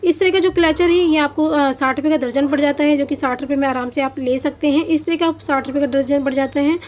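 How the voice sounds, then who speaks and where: Woman filming on her phone at a street market, high voice, lots of ums, faint bustle behind. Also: steady tone, fast, darkish tone, a little hiss or hum. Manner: chatty, hesitant with many fillers, addresses viewers as friends. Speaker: shopping vlogger. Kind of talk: walking market tour with price talk. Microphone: handheld phone, camera pointed at goods.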